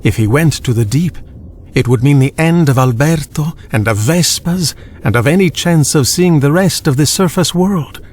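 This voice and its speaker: A man's voice: on the high side, moderate pace, darkish tone, a little hiss or hum. A man reading a children's storybook as a scripted audiobook narrator.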